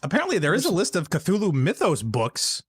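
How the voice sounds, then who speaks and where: Lively man chatting, dry sound. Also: high-pitched, a little fast, breathy edge, no echo. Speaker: co-commentator. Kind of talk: casual chat over a game.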